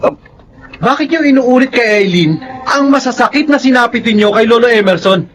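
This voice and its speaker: A man's voice: very high-pitched, expressive, moderate pace, fairly bright tone. A man playing an earnest, respectful young adult son in a radio drama. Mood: confronting, earnest. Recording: faint background noise.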